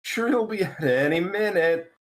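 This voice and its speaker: A man playing an anxious, eager young man in a comedy screenplay read, with halting, emphatic delivery. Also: high voice, animated, pitched above the performer's own voice.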